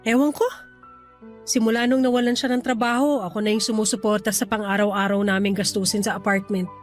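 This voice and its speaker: A woman's voice: medium pitch, little pitch movement, fairly quick, soft background music, natural voice. A woman playing a weary, resentful young wife in a Tagalog radio drama, venting plaintively and hesitantly to her cousin. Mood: weary, confiding.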